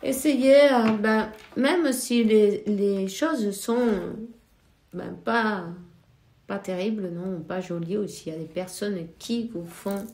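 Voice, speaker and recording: A woman's voice: mid-range voice, darkish tone, roomy sound. A woman with a cold giving casual spiritual advice as a card reader, hesitant and full of fillers. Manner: slow, hesitant, many fillers. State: has a cold.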